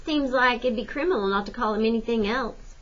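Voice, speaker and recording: A woman's voice: a little high, a little fast, a bit bright. Woman as a folksy, wry drifter man, slightly dull sound. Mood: wry, explaining. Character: folksy, wry hobo man with mouth organ. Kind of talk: audiobook character voice.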